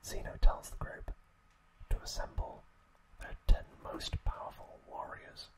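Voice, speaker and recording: A man whispering breathily in a halting, gentle ASMR storytelling recap, close to the microphone. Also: rough recording. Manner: slow, halting phrases with pauses. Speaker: ASMR host.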